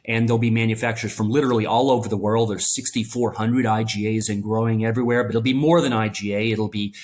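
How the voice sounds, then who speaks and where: A man's voice: fairly low pitch, steady tone, quick pace, a little mellow. A man hosting a business interview podcast, friendly and welcoming, talking in long run-on sentences. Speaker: podcast host. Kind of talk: conversational interview.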